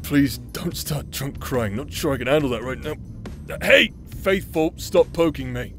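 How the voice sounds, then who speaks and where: A man's voice: medium pitch, animated, measured pace, faint music behind. A man playing a cocky, teasing adventurer in an audio roleplay, with a drawling, playful manner. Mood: exasperated. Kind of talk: dramatic audio roleplay acting.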